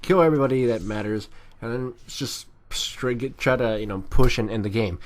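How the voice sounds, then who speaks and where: Man, mid-pitched voice, casual gameplay commentary, amateur, rambling, self-deprecating. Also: normal speed. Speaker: video game commentator.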